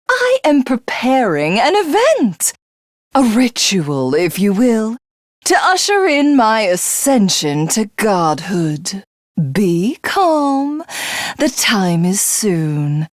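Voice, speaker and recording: A voice actress playing a haughty, cruel would-be goddess, a grandiose and theatrical video game villain. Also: female voice, mid-range voice, dry recording, studio quality, heavily acted. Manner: grandiose, slow, archaic, menacing delivery.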